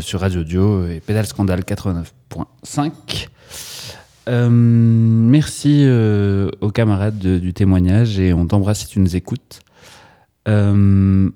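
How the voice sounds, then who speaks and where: A man hosting a radio show, introducing things off the cuff and pausing between phrases. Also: lowish voice, dark tone. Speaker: radio host. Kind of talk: unscripted radio presenting.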